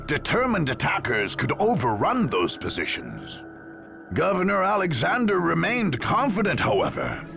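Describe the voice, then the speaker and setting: A grave, gravelly war chronicler narrates a grim sci-fi game. His solemn, theatrical delivery rises and falls widely, with music underneath. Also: mid-range voice, a bit bright, heavily acted. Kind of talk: dramatic scripted game narration.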